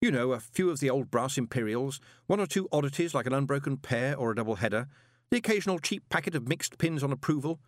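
Man playing a shrewd, lively postmaster. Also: mid-range voice, fast, no room sound, clean studio sound, voice pushed up, natural voice. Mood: smooth-talking. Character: shrewd, wry adult male postmaster.

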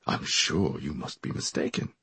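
A man voicing a reserved older gentleman who speaks quietly and formally. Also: moderate pace, no room sound, lightly acted. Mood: polite.

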